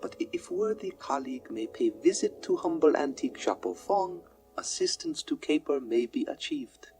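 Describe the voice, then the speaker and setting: A man's voice: a little high, big pitch swings, moderate pace, a little mellow, faint music behind. A man playing a humble, chubby alien private detective in a radio play, obsequious and formal in stilted, flowery grammar. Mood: earnest, courteous.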